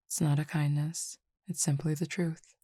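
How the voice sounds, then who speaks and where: A woman voicing a calm cupid roommate who feeds on desire, in an audio roleplay spoken to the listener, formal, measured and quietly teasing. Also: low voice, husky, dry recording, clean studio sound. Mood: languid teasing.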